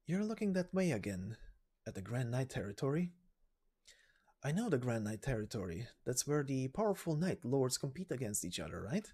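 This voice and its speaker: A man voicing a concerned villager in a game story, talking in a gentle, earnest way. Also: medium pitch, big pitch swings, normal speed, breathy edge. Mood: curious. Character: concerned villager questioning a would-be knight friend.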